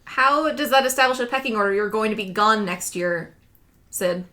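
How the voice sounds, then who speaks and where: A woman's voice: mid-range voice, moderate pace, bright, forceful tone. A woman playing a tabletop roleplaying game, bantering casually with a playful, informal manner.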